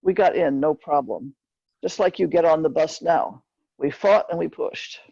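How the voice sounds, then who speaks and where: A woman reads a story aloud in a mellow, husky voice with a gravelly creak. She tells it plainly, in short measured phrases. The recording is dull and roomy. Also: low voice. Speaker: author reading from a book. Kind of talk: scripted narration, book reading.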